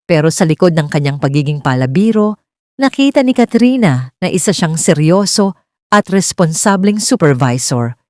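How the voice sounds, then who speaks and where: A woman's voice: on the low side, expressive, normal speed, a little mellow, soft background music. A woman reading a scripted romance story as its narrator.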